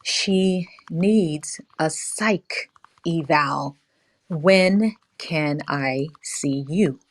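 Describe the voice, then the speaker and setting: Slow, low-voiced worried young woman played by an actress. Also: no echo, heavily acted. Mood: guarded concern. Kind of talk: screenplay table read.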